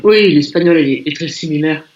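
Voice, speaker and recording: A man's voice: fairly high pitch, moderate pace. Give me a talkative man from France on a muffled video call. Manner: relaxed, chatty, with some restarts. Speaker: conversation partner. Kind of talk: casual conversation.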